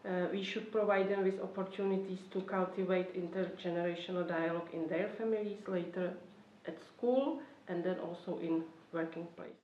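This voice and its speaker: A woman from the Czech Republic with a Czech accent gives an explanatory talk as a government official, choosing her phrases carefully and haltingly in non-native English. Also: on the low side, fairly even intonation, slight background noise. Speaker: government youth policy official. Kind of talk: explanatory talk for an online course. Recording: roomy, distant, slightly dull microphone.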